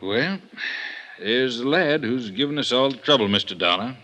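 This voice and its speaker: A baffled, wisecracking veteran American police detective in a 1950s radio drama. He sounds middle-aged, with a sharp, forceful edge and a very lively swing in pitch. His manner is folksy and dry. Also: moderate pace. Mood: weary, baffled.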